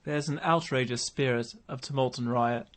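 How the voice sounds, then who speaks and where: A man's voice: mid-range voice, moderate pace, close to an everyday voice. A man playing a stern nineteenth-century magistrate in a radio play, declaiming a grave proclamation. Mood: grave alarm. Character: stern male 1811 magistrate decrying riot and rebellion.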